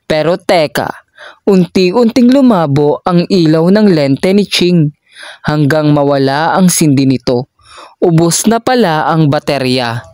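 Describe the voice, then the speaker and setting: A person reading a children's story aloud in a measured, deliberate storytelling manner. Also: mid-range voice, animated, a little mellow, dry recording.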